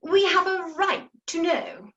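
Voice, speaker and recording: A woman's voice: very high-pitched, slow pace, a bit bright, exaggerated voice. A woman playing an earnest, pious clergyman's daughter in a comic radio play, with an insistent manner. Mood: pleading, dramatic. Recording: dull home recording, music underneath.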